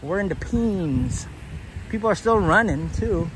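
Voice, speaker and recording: A man's voice: high-pitched, lively intonation, unhurried, a bit bright. A man hosting a casual walking livestream outdoors, chatting in a laid-back way and greeting viewers. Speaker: livestream host. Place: outdoors, walking along a beach. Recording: noisy outdoor ambience, breezy.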